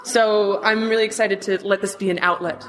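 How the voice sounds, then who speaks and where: Loud, outspoken woman chatting on a podcast. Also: medium pitch, steady tone, fairly quick, music in the background, poor audio. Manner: loud, outspoken and laughs often. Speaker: podcast guest and film reviewer. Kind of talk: casual podcast chat.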